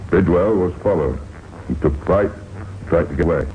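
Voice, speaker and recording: Very deep male vintage crime narrator. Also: a little hiss or hum. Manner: deliberate, dramatic storytelling. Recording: dull vintage radio recording with music. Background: music.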